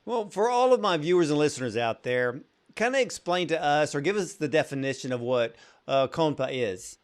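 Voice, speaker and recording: A man hosts an interview and poses long, carefully built questions. He speaks earnestly and sincerely, with a voice pitched a little higher than most men's. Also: expressive, moderate pace. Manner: earnest and complimentary, asks long wind-up questions. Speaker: interviewer, show host.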